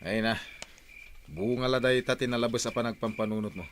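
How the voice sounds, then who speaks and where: A man's voice: mid-range voice, steady tone, fairly quick, a little hiss or hum, natural voice. An actor playing a sleepy, dismissive husband who grumbles that he wants to sleep, in a radio drama.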